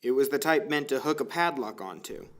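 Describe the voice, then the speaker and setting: A man's voice: medium pitch, fairly quick, a bit bright. A man reading scripted story narration for an audio drama. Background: faint music.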